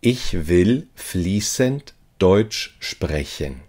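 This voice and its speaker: Slow, deep-voiced man reading phrases. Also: expressive, darkish tone. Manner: reads each phrase slowly and repeats it. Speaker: language teacher.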